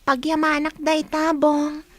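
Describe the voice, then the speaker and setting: A woman performing a gentle, dutiful Ilocano student who looks after younger siblings. Her voice is extremely high, in the manner of radio drama. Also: monotone, measured pace. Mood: earnest.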